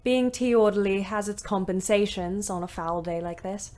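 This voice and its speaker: A woman's voice: mid-range voice, steady tone, normal speed, dark tone, close to an everyday voice. An actress playing a young ambulance driver who narrates her war diary with dry, wry humour. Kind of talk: audio drama narration in diary form.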